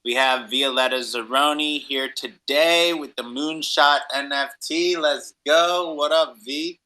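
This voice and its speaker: A man's voice: a little high, unhurried, bright, forceful tone. A man hosting a podcast in an enthusiastic, casual, slangy way, with upbeat delivery. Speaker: podcast host.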